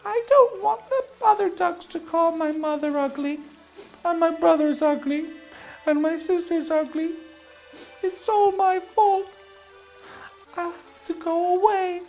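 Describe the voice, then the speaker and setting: A woman's voice: very high voice, a little slow, creaky, faint music behind, pitched above the performer's own voice, heavily acted, rough recording. A woman voicing a sad, timid young male duckling in a storybook, polite and pleading in a childlike way. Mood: sad, guilty.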